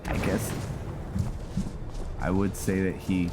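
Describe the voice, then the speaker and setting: Male gaming host, deep register, casual and musing roleplay narration with clear music underneath. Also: little pitch movement, slow. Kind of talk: casual roleplay gameplay commentary, sometimes reading in-game text aloud. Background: game music.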